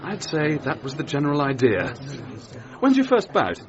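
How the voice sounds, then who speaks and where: An actor playing a well-spoken English gentleman, polite and wryly observant, with an English accent. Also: fairly high pitch, huge pitch swings, normal speed, crowd noise. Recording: dull band-limited sound with music behind.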